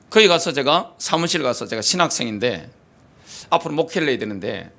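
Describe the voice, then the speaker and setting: A man's voice: fairly high pitch, big pitch swings, moderate pace, gravelly, a bit bright. A middle-aged man preaching a sermon as pastor of a church, emphatic, asking the congregation rhetorical questions. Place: church sanctuary.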